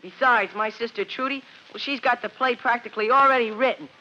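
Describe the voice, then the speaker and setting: Male actor as a bossy, bright-voiced schoolboy chairman. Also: extremely high pitch, steady tone, a little fast, slight background noise, heavily acted. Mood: exasperated. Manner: blustering, indignant. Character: bossy, exasperated schoolboy chairing a club meeting. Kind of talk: old-time radio drama acting. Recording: dull vintage radio recording.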